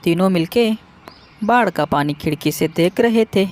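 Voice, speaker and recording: A woman reading scripted narration for a children's animated story. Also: fairly low pitch, normal speed.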